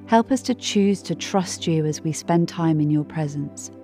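A woman reading a scripted devotional in a gentle, measured, reflective way. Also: low-pitched, faint music behind. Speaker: devotional reader.

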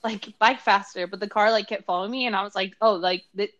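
A woman's voice: mid-range voice, quick pace, highs cut off. Giggly young woman telling a story. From Mexico. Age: 20s. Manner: animated, giggly, acts out voices in stories. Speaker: podcast co-host. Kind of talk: casual storytelling chat.

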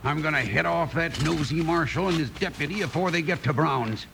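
An actor playing a menacing cattle rustler with a gruff, hostile manner and an American Western accent in a radio western. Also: male voice, a little high, fairly quick. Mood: scheming. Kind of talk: 1950s radio western acting. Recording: faintly noisy vintage radio recording.

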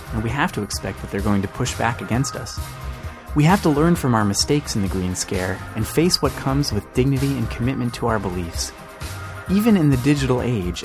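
A man delivering scripted narration as a podcast host. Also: medium pitch.

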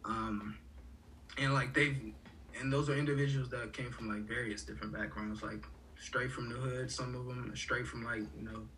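A man's voice: mid-range voice, steady tone, moderate pace, fairly bright tone, distant mic, a little hiss or hum, rough recording. A young man from Haiti, a college student, talking casually in a rambling way with many fillers and restarts. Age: young adult. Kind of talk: casual conversation.

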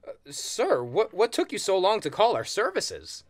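Earnest call center ghost agent, high voice, bouncy intonation, super deferential, comedy sketch. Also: male voice, wildly swooping pitch, normal speed, exaggerated voice. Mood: courteous. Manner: deferential customer-service politeness. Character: polite, earnest ghost-removal hotline agent. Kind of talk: audio comedy sketch acting.

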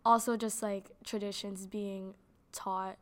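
A woman's voice: mid-range voice, flat delivery, slow pace. A young woman giving casual interview answers in a chatty way, with lots of "like" fillers. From Canada. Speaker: interviewee, actor.

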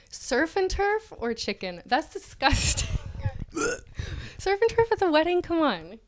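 A woman's voice: high-pitched, sing-song, takes it slowly. A woman co-hosting a podcast, reading an essay aloud in a theatrical way and breaking off into casual banter. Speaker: podcast co-host.

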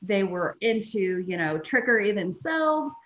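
A woman in her thirties with an American accent, speaking in an animated, informal way as a guest in a casual interview. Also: medium pitch, a little slow, highs cut off. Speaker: podcast guest, sales coach.

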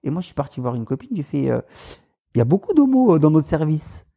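A man with a Paris suburban accent tells anecdotes in an easygoing chat. His voice is mellow and dark. He acts out other people's lines and laughs along as he goes. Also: on the high side, quick pace, vocal fry. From Paris suburbs, France. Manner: animated, mimics voices in anecdotes, laughs often. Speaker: guest. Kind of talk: casual storytelling.

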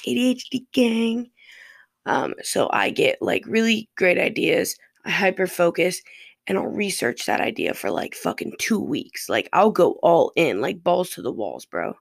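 A raspy, fairly deep-voiced person from Ohio, hosting a podcast in a loose, profane, rambling monologue. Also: sing-song, a little slow, no room sound, clean studio sound. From Ohio, USA. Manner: loose and profane, drifting between topics. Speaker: podcast host.

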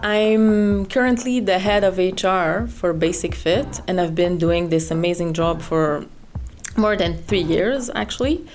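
A woman's voice: lowish voice, a little slow, darkish tone, soft background music. A middle-aged woman from French Canada with a French Canadian accent, speaking English as a guest with many fillers and repeated words. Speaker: head of HR, guest. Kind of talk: interview chat.

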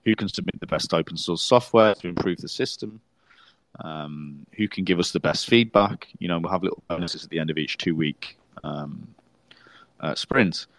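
Low-voiced man co-hosting a podcast. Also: normal speed, no room sound, rough recording. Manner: explains plans at length, many fillers and restarts. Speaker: podcast co-host. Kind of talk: casual podcast chat.

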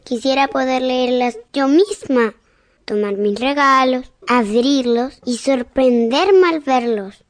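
A woman playing a gentle, wistful little girl in a radio drama, speaking plaintively and earnestly. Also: sits high, slow. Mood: longing.

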